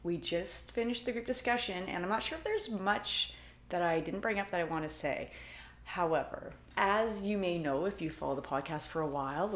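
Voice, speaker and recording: A woman in a book club podcast giving her thoughts in a casual, chatty way over a video call. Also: on the low side. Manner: chatty, laughs often. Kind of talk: casual chat and solo recap. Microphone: computer mic on video call. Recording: roomy echo.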